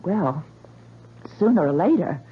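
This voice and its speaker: A woman's voice: on the low side, expressive, unhurried, breathy edge, heavily acted. An actress playing an anxious, persistent and plucky female real estate agent in a 1970s radio drama. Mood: firm, questioning. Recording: dull vintage radio recording.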